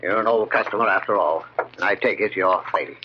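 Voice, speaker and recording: An actor playing a gruff, shrewd antique dealer, curt and wry, in 1940s radio drama style. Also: male voice, low-pitched, quick pace, close to an everyday voice. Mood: sly, wry. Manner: curt, wry, salesman's patter. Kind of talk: 1940s radio horror acting. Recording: muffled, noisy old radio recording.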